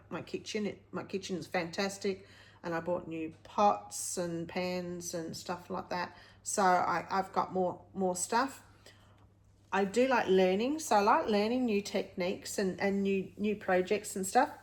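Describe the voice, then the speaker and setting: A woman at an ordinary, middle pitch. She chats informally to her viewers as a vlog host. Her delivery is candid and rambling, as she circles back to rephrase and correct herself. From Australia. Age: late 50s. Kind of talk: casual personal vlog, chatting to viewers.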